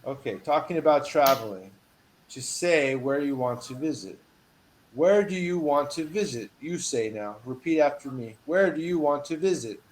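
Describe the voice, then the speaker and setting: A man teaching a one-on-one English lesson over a video call, patient and encouraging, reading model phrases with lively emphasis. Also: fairly high pitch, normal speed, a little hiss or hum, rough recording. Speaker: English tutor.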